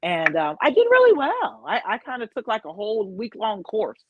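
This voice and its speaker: A woman in her fifties co-hosting a casual chat, animated and sassy, laughing often. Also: on the high side, moderate pace, narrow, tinny audio, noisy background. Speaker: co-host, actor.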